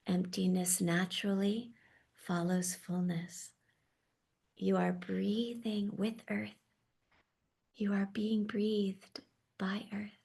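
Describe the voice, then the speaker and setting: A woman leading a guided meditation in a soft, slow, often half-whispered voice. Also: medium pitch, level tone, vocal fry, husky. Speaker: meditation leader.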